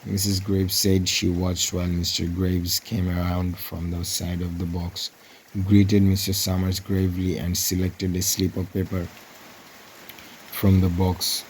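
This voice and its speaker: A man with a low voice narrates a story as an audiobook reader. His delivery is scripted narration, and faint rain-like ambient noise sits in the recording. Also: completely flat delivery, measured pace, a little mellow, rough recording.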